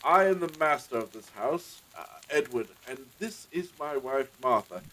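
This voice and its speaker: A man's voice: medium pitch, animated, moderate pace, bright, forceful tone, a little hiss or hum, low-quality recording. A man playing a courteous, genteel master of the house in a period audio drama, formal and politely apologetic. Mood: gracious, formal.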